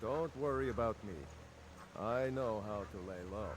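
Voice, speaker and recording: A man playing a weary, earnest rebel soldier in a video game, with loud noise behind him. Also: mid-range voice, normal speed, close to an everyday voice. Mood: reassuring. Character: weary, grateful rebel soldier, a devoted brother. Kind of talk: video game dialogue acting.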